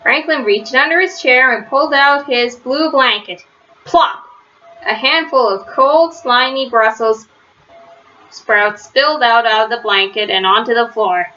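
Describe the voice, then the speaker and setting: Female storyteller for children's picture book: expressive, bright, high voice, slightly dull home recording. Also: measured pace. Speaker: read-aloud storyteller.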